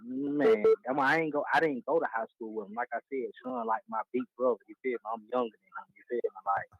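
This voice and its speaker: Gravelly fast-talking man calling in. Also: medium pitch, wildly swooping pitch, dry recording, highs cut off. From Cleveland, Ohio. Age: 20s. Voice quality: gravelly, older-sounding voice. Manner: rambling, repeats "you feel me" and "like I said". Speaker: caller. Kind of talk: casual call-in chat.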